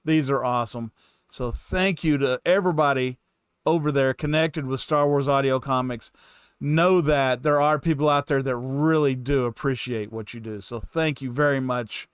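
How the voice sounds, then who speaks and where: A man's voice: medium pitch, normal speed, smooth, dry recording, clean studio sound. A man giving casual, unscripted reaction commentary, rambling and repeating himself as a host. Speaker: fan reaction channel host.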